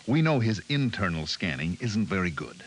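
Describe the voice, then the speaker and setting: A man's voice: sits low, very animated, moderate pace. An actor playing a nervous, sardonic stranded man, a reluctant sidekick in a 1950s radio drama. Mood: glum, dry. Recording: hissy 1950s radio recording.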